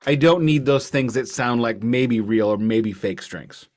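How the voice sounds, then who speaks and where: American man, medium pitch, casual podcast album talk, wry, jokey delivery. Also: measured pace, a little mellow. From United States. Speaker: podcast co-host reviewing albums. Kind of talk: casual music review chat.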